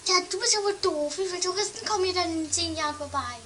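Shrill, snappish greedy adventuress played by a woman. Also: fast, a bit bright. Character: greedy, snappish, bossy female treasure hunter. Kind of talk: amateur 1980s comedy radio play acting.

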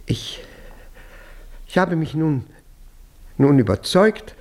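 An actor playing a flustered, suspicious husband in a German radio play, stammering politely and repeating himself. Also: male voice, fairly high pitch, very animated, takes it slowly, darkish tone, exaggerated voice. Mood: embarrassed, polite.

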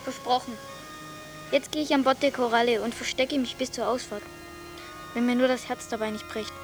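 A woman's voice: a little high, steady tone, moderate pace, over music, close to an everyday voice. A woman playing a dreamy, earnest schoolboy who longs for the sea in a German radio drama. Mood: resolute. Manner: earnest, wondering.

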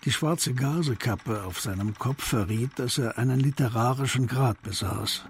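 Mid-pitched male narrator, faint background ambience. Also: normal speed, slight background noise. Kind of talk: scripted radio drama narration.

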